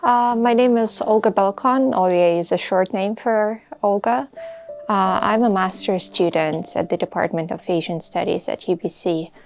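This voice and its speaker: A young woman from Russia in her twenties, answering interview questions in an earnest, hesitant way with many pauses and fillers, recorded outdoors on a campus. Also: mid-range voice, music in the background. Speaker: master's student speaking about a protest.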